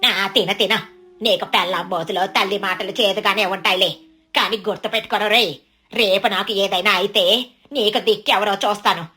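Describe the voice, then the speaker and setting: A woman's voice: mid-range voice, fairly even intonation, normal speed, sharp tone, voice pushed down, close to an everyday voice. A woman voicing a scornful, domineering elderly mother-in-law in a story, complaining sarcastically. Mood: sarcastic, bitter.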